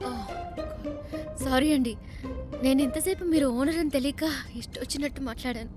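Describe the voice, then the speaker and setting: A woman's voice: high-pitched, fairly quick, close to an everyday voice. A woman acting a prim, questioning young female passenger in film dialogue. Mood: apologetic. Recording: film dialogue with music behind. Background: music.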